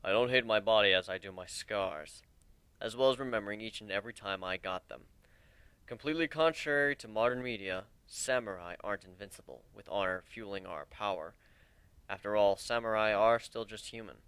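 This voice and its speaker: A man voicing a grim, weary, scarred samurai in a dramatic story reading, speaking in a measured, understated way. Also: fairly low pitch, fairly bright tone, clean tone, dry recording, pitched below the performer's own voice. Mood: grim, matter-of-fact. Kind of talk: dramatic reading of fan fiction.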